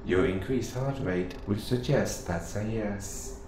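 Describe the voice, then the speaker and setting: A low-pitched male robot assistant, acted by a man, curious and devoted, speaks to the listener in an intimate audio roleplay. His wording is formal, precise and earnest. The recording sounds roomy, with clear noise behind the voice. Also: slow pace. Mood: gently curious.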